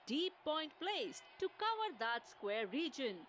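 A woman's voice: high voice, very animated, measured pace, fairly bright tone, a little hiss or hum, heavily acted. A woman playing a keen, observant cricket commentator in a video game, delivering scripted analysis. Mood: measured, analytical. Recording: game stadium ambience behind. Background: game ambience.

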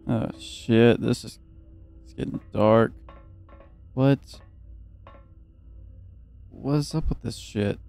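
A man's voice: mid-range voice, slow pace, gravelly, pauses often, darkish tone, soft background music. A young man giving casual let's play commentary, joking and swearing and at times reading game text aloud. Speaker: gaming video host. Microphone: close, dry microphone.

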